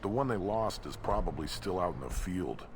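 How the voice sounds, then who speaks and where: An actor playing a surly, sarcastic hulking alien mechanic in a video game. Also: male voice, deep voice, animated, moderate pace. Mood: grumbling. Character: grumpy male krogan mechanic, gruff hulking alien. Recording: clear noise, vehicle hum behind.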